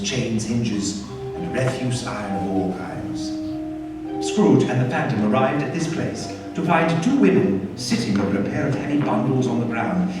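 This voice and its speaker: A man narrating a radio play as a dramatic scripted story, in a measured, theatrical manner. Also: fairly low pitch, close to an everyday voice. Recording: very echoey hall, distant, faint noise.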